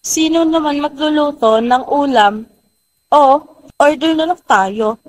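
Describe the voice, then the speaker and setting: Slow, high-pitched young woman acting. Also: a little mellow, slight background noise. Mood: easygoing. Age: young adult. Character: easygoing hungry young woman on camping trip. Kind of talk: amateur student radio drama acting.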